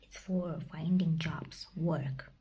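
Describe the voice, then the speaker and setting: Young woman whispering a vlog, breathy and low, hesitant, stops a lot to find words. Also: fairly even intonation, slow pace, mellow. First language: Kazakh. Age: young adult. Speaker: vlogger. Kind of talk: casual personal vlog.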